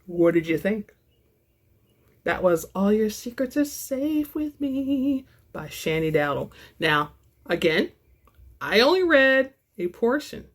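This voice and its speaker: A woman reading romance fiction aloud as a host, with an expressive, theatrical delivery. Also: medium pitch, slow. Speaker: audiobook-style reader and channel host.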